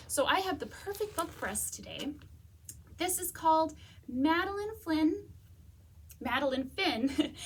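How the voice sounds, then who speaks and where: A woman's voice: high-pitched, slightly rough. A woman hosting a children's storytime, reading aloud expressively and speaking to young listeners. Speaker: library storytime host.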